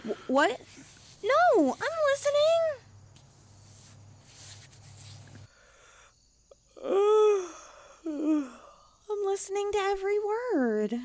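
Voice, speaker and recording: A woman's voice: very high-pitched, big pitch swings, takes it slowly, darkish tone, slight background noise, exaggerated voice. A woman playing a haughty, needy young stray catgirl, blunt and cutesy, in an ASMR comfort roleplay. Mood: distracted, puzzled.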